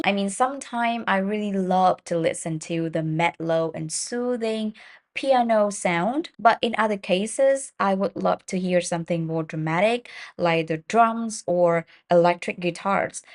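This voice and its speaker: Woman, tutor, reading model speaking-test answers, announces each question first, mid-range pitch, steady explanatory delivery, occasional fillers. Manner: occasional fillers and small restarts.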